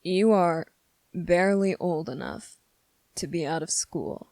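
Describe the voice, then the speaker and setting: Someone acting as a slow creaky demon, condescending n menacing, asmr roleplay, super dry close sound. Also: medium pitch, a little mellow, no room sound, lightly acted. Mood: cold, probing. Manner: slow, deliberate, condescending, pausing often. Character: sardonic, menacing demon weighing a summoner's revenge plea. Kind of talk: dramatic ASMR roleplay monologue.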